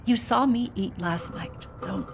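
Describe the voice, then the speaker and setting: With faint noise around her, an ailing lady of a gothic manor. She speaks slowly and weakly, short of breath, halting and gently dry as she keeps up a brave front. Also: a little high. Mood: weary, brave. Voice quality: weak, breathy, winded. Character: ailing noblewoman, weary, putting on a brave face. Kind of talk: period gothic audio drama acting.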